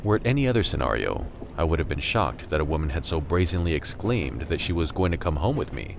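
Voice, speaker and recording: A man's voice: very deep voice, creaky, noisy background, exaggerated voice. A man playing a jaded scavenger who narrates a radio drama in a wry, matter-of-fact, weary way. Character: jaded male scavenger in a silent post-virus America, narrating.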